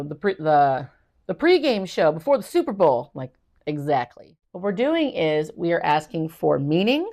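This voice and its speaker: Middle-aged woman reading tutor, low and gravelly, encouraging instructional delivery. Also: a little mellow. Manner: encouraging, acts out student exchanges. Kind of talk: instructional talk to parents and teachers.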